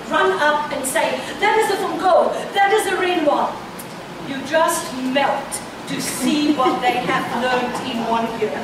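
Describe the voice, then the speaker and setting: High-voiced woman, far off in an echoey hall. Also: background noise. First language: Afrikaans. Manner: earnest, proud report. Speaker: childcare center director. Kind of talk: speech at an annual meeting. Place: event venue.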